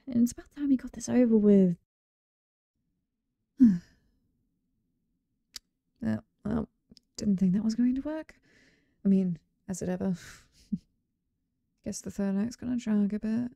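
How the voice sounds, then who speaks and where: A woman's voice: a little high, vocal fry, warm tone, no echo, muffled recording, heavily acted. A British woman playing a cheerful, chatty slasher killer in an ASMR roleplay, teasing and casual with sweet menace. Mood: playfully menacing. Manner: playful, casual, teasing, amused.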